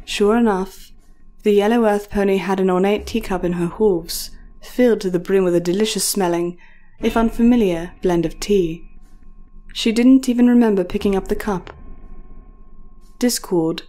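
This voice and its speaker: A woman's voice: medium pitch, normal speed, warm tone, faint music behind. A woman reading scripted story narration as the narrator of a tale.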